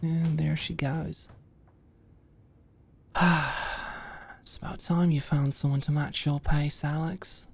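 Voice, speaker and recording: A woman's voice: sits low, level tone, slow pace, vocal fry, pauses often, darkish tone, slightly rough, heavily acted. A woman playing a composed, wry senior corporate mentor who muses and confides in a scripted ASMR audio roleplay. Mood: musing.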